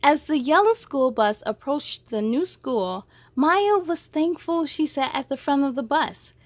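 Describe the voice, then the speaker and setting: A woman's voice: sits high, lively intonation, measured pace, dry recording. A woman reading a children's picture book aloud expressively, adding short asides to listeners as a storyteller.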